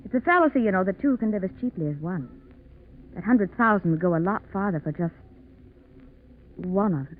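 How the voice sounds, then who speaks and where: An actress playing a cool, scheming, money-hungry mistress with a mocking, composed manner in a 1940s radio mystery. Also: female voice, medium pitch, lively intonation, brisk, pauses often, natural voice. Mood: coolly teasing. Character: cool, greedy, scheming femme fatale mistress. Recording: muffled, noisy 1940s radio recording.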